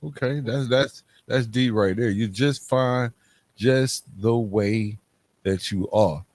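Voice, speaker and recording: A man's voice: medium pitch, slow pace, darkish tone. A man hosting a podcast, interviewing conversationally and restating what his guest has said before asking more. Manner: echoes and restates the guest's points. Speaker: podcast host.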